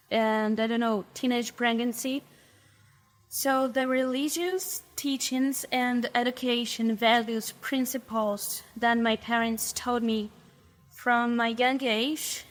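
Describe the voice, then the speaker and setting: A woman's voice: on the high side, a bit bright. A young woman from Brazil with a Brazilian Portuguese accent, speaking English as a second language and sharing her faith testimony in an interview, choosing her words carefully. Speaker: interview guest.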